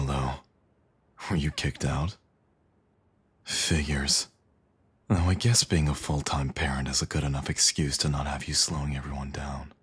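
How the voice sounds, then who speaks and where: A man's voice: rumbling low, breathy edge, dry recording, full character voice. A man playing a cocky villain in an intimate audio roleplay, with a teasing, smug, flirtatious drawl.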